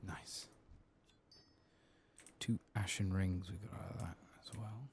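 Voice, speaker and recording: Soft-spoken, low-pitched man, slow and hushed. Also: fairly even intonation, gravelly, heavy background noise. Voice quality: soft-spoken, often slipping into whispers. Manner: unhurried, quiet murmured asides. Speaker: host of a gameplay channel. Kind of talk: soft-spoken ASMR gameplay commentary.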